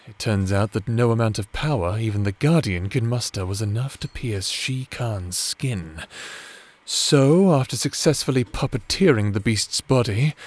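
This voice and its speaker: A man's voice: lowish voice, darkish tone, breathy edge. A man playing a doting, villainous necromancer husband in a romantic ASMR audio drama, speaking in a theatrical, formal and tender manner.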